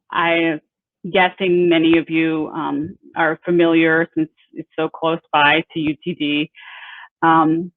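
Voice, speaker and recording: A woman in her thirties from Peru, an engineer giving an informal talk about her company, halting with many fillers and restarts. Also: deep voice, dry recording. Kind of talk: informal presentation. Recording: tinny phone-like audio, dry.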